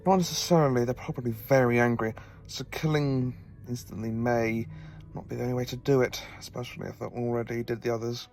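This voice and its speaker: An actor playing a quirky, knowing professor who travels in time, explaining things wryly and haltingly in an audio drama. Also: male voice, mid-range voice, fairly even intonation, gravelly, darkish tone, soft background music, close to an everyday voice. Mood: grave explaining.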